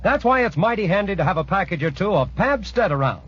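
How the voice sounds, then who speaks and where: A man reading a scripted 1940s radio commercial as a polished announcer's sales pitch. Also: big pitch swings, fast talker, fairly bright tone, husky. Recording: muffled 1940s radio broadcast.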